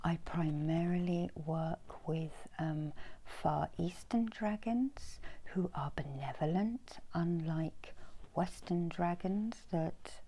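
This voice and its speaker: A middle-aged woman whispering a soothing, breathy ASMR guided meditation as a healer, softly giving instructions. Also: on the low side, slow pace, slight background noise. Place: small indoor room with folding screens.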